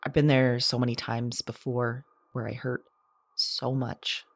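A woman playing a lonely, haunted character who keeps an audio journal in a drama, with a halting, intimate, confessional delivery. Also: rumbling low, little pitch movement, no room sound, exaggerated voice. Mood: weary, reflective. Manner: halting, hesitant, hushed reflective delivery.

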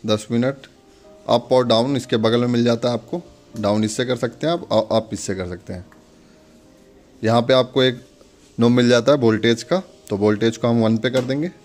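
A man's voice: mid-range voice, fairly even intonation, moderate pace, darkish tone, soft background music. An Indian man giving a casual step-by-step product demonstration, instructing viewers in a friendly, direct address.

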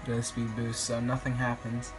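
Slightly raspy young man with hesitant ums. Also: medium pitch, completely flat delivery, normal speed. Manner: rambling, hesitant, many ums. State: a bit sick. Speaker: gaming YouTuber. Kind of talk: casual game commentary. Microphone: phone filming a screen. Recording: music playing behind.

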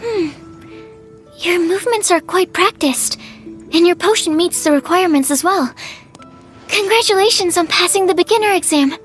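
A woman's voice: very high-pitched, normal speed, long pauses, faint music behind. A woman voicing an earnest, polite, bookish young alchemist in a video game, explaining things carefully and precisely. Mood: encouraging. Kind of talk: video game dialogue acting.

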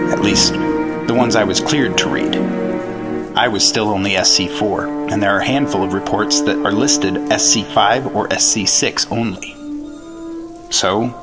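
A man's voice: lowish voice, lightly acted. An actor playing an earnest FBI agent, narrating his case in clipped, matter-of-fact 1950s radio drama style. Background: prominent music.

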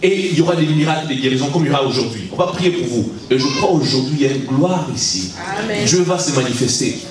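A man in his thirties from Congo, preaching in halting French with many restarts, holding a handheld mic in a large church hall. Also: mid-range voice, animated, crowd noise. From Congo (Kinshasa). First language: English is his stronger language. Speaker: guest preacher. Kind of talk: sermon to young people. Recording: echoey hall, mic heard from a distance.

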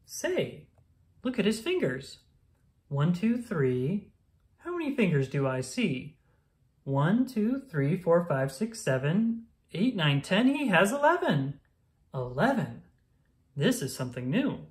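A woman reading a rhyming children's picture book aloud in an expressive, singsong storytelling style. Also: lowish voice, slow.

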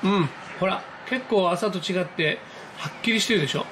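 High-voiced man narrating live over background noise. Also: moderate pace, pushed and bright, low-quality recording. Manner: exclamatory, reacting aloud as things happen. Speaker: amateur radio operator hosting his own video. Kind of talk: live hobby commentary, casual and excited. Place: indoors at a desk, filming a computer screen.

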